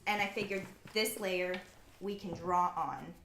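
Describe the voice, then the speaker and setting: A woman's voice: fairly low pitch, measured pace, gravelly, a bit bright. A woman in casual conversation who explains each step as she goes. She talks while her hands are busy. A faint hiss of background noise sits under her voice. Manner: chatty, explains while working. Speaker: fashion designer. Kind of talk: casual chat while demonstrating. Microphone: shared microphone.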